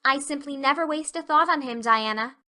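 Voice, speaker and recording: A woman's voice: high-pitched, fairly quick, pushed and bright, pitched above the performer's own voice. A woman voicing a dreamy thirteen-year-old girl, effusive, romantic and theatrical, in an audio drama. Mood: lofty.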